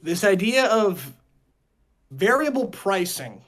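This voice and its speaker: A man hosting a casual chat show, talking in a rambling, halting way and often repeating his words. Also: high-pitched, very animated, fairly bright tone. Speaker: podcast host.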